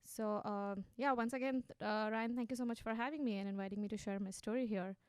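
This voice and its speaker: A woman in her thirties from India with an Indian accent, a native Hindi speaker, telling her story with animated warmth as a guest in a radio interview. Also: fairly high pitch, fairly quick, clean tone, no echo.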